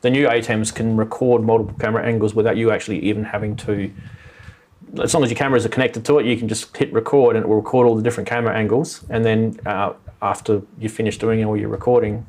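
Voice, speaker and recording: A man's voice: on the low side, fairly even intonation, quick pace, darkish tone. A man hosting a live music tech show, rambling enthusiastically and doubling up words for emphasis. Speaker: podcast host. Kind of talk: casual live show chat.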